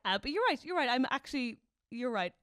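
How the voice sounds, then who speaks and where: A woman's voice: high-pitched, quick pace, no room sound. An Irish woman co-hosting a podcast, chatting casually and jokily in a studio, often laughing. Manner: animated, jokey, laughs often. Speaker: podcast co-host. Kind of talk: casual chat.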